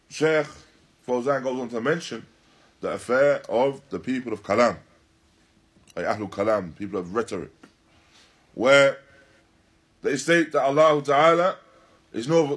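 A man teaching in a religious lecture, deliberate and emphatic, repeating and rephrasing his phrases as he explains a text. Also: medium pitch, husky. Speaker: religious teacher giving a lesson. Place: Islamic centre, live stream.

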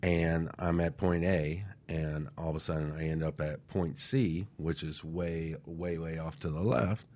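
Man, very low voice, talk-radio co-host, loose rambling delivery that keeps asking "right?". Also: fairly even intonation, unhurried, few pauses, a little mellow. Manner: rambling, repeats words, tags lines with "right?". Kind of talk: motivational talk show chat.

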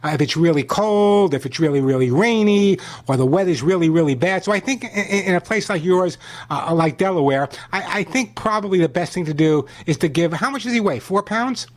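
A man hosting a call-in radio pet show, from New York, talking fast and repeating himself as he pitches products and gives advice. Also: lively intonation. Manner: rapid, repetitive sales pitch and chatty advice.

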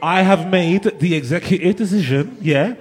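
A man's voice: high voice, normal speed. A man host, slurring after drinking, apologetically announcing that the event runs on.

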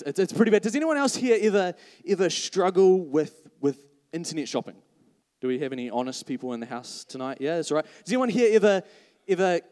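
A man preaches to a congregation, his voice sitting a touch higher than most men's. He talks in a chatty, joking way and keeps throwing self-mocking asides to the crowd. The sound carries a long reverb from a church hall. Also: darkish tone. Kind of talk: live sermon to a congregation.

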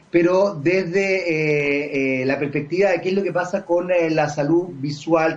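A Chilean man hosting a radio talk show, giving commentary and interviewing a guest. He pauses often with drawn-out fillers. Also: high-pitched, a little mellow. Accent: Chilean Spanish. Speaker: radio host. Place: radio studio. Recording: dull lo-fi radio broadcast.

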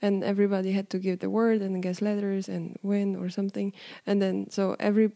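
A woman's voice: mid-range voice, little pitch movement, fairly quick, creaky, mellow. Playful young woman with Polish accent. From Poland. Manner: playful, teasing, laughs often. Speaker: guest interviewer. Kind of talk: casual chat.

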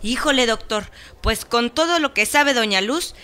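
A woman's voice: on the high side, lively intonation, normal speed, pushed and bright, clear, resonant tone. An actress playing a cheeky, teasing village woman with a Mexican accent, playful and colloquial in a radio drama.

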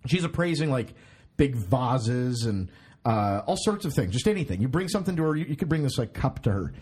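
A man's voice: mid-range voice, very animated, a little fast, darkish tone, slightly rough. A man in his thirties hosting a podcast in casual chat, rambling, swearing and laughing at himself. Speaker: podcast host.

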